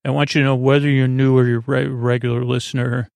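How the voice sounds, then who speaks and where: Creaky-voiced rambling man hosting a sleep podcast. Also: little pitch movement, a little mellow, no echo. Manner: meandering, halting, self-interrupting with repeated false starts; low-pressure, reassuring, droning on purpose. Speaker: host of a bedtime sleep podcast. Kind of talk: rambling bedtime podcast monologue.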